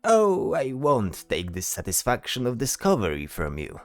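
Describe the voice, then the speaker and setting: A man's voice: medium pitch, huge pitch swings, moderate pace, no room sound, soft background music. A man voicing a bold, teasing bard in a fantasy audio drama, talking theatrically and tagging questions onto his sentences. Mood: playful, lecturing. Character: flamboyant male bard, teasing, bold, self-assured.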